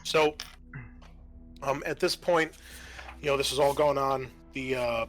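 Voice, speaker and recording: A man narrating a tabletop role-playing game as game master, haltingly, often circling back to rephrase. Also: mid-range voice, steady tone, a bit bright. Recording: music behind the voice. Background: music.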